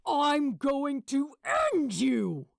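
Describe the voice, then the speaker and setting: A woman's voice: high-pitched, lively intonation, drawn-out words, vocal fry, no room sound, pitched above the performer's own voice, exaggerated voice. A woman voicing a bullying, pompous male studio boss in a story, with a snarling, sneering delivery. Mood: furious threatening.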